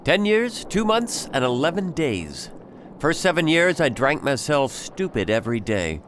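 A brooding pirate captain bent on revenge, played by a man in an audio drama. He is measured and commanding, and his pitch moves widely. Faint noise sits behind the voice. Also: very animated, a bit bright. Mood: brooding, bitter. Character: vengeful, brooding pirate captain, hardened by grief.

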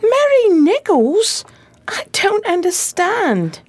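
Woman acting an earnest, very expressive detective's assistant. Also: very high voice, slow pace, no room sound, exaggerated voice. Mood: bewildered. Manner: expressive, formal storytelling. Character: earnest, easily astonished female detective's assistant and narrator. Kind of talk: period radio drama narration and dialogue.

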